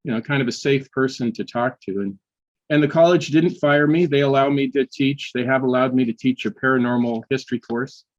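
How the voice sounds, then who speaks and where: Halting older man on dull audio. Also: mid-range voice. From United States. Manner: halting, many restarts and fillers. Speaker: guest, history professor. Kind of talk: casual interview storytelling.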